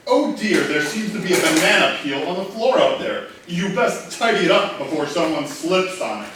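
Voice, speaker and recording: Smug, awkward lawyer for a stage comedy male actor, slightly high voice, very echoey recording. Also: big pitch swings, moderate pace. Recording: very echoey, distant mic, noisy background.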